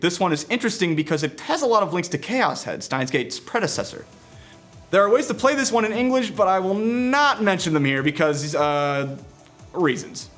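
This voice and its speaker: A man's voice: sits high, fairly bright tone. A man presenting a scripted video game review with casual asides.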